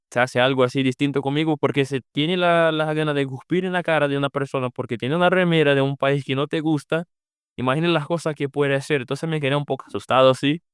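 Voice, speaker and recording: A man's voice: fairly high pitch, clean tone, no room sound, clean studio sound. A young man from Brazil with a Brazilian Portuguese accent, a Portuguese native speaker answering interview questions in Spanish, hesitant and searching for words. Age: young adult. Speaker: podcast guest, former exchange student.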